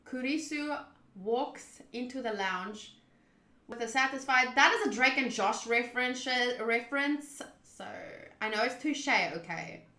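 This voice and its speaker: A woman game streamer with a high, bright voice. She chats and reads aloud theatrically, often drifting into asides, in a roomy, echoing space. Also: expressive, measured pace. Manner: chatty, theatrical, swerving into asides. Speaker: streamer playing a visual novel. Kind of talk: casual live playthrough commentary, reading aloud.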